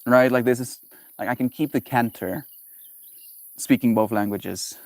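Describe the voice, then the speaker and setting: Guy livestreaming, playful and musing, rolls words around, mid pitch, dry close sound. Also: unhurried, vocal fry, a little mellow, no echo. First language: Afrikaans. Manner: playful, musing aloud, savoring and repeating words. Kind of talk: casual solo livestream chat.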